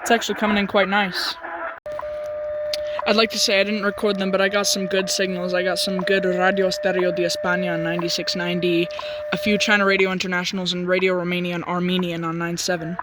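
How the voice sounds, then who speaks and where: A shortwave radio hobbyist giving casual, halting commentary on what the receiver picks up. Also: mid-range voice, flat delivery. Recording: loud shortwave static and radio noise. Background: shortwave radio static and broadcasts.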